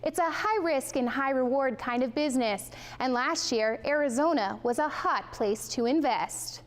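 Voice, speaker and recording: A woman's voice: high-pitched, moderate pace. An American woman in her twenties delivering scripted television news narration as a reporter, in a polished, emphatic way. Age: 20s.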